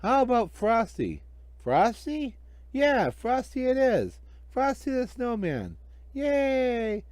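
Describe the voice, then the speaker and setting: A man's voice: extremely high pitch, huge pitch swings, takes it slowly, a little mellow, no room sound, pitched above the performer's own voice, full character voice. A man voicing earnest, innocent young schoolchildren in a story, eager and pleading. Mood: excited.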